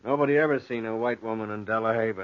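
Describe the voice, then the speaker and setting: A man's voice: fairly low pitch, fast talker, dry recording, close to an everyday voice. A man playing a deferential, plain-spoken soldier with folksy speech, reporting to his officer in a 1940s radio drama. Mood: dutiful, guarded. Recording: muffled 1940s radio recording.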